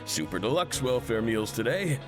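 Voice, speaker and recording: A man's voice: medium pitch, very animated, normal speed, faint music behind, lightly acted. A man playing a polite, deferential cafeteria server in a video game, speaking formally. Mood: polite, welcoming. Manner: formal, service-like.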